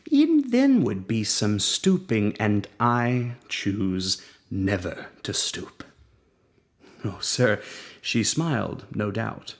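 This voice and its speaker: A man reading as a proud, cold duke. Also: mid-range voice. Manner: measured, dramatic, with sudden rises to near whispers. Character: proud, coldly jealous aristocratic duke. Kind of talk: dramatic monologue reading.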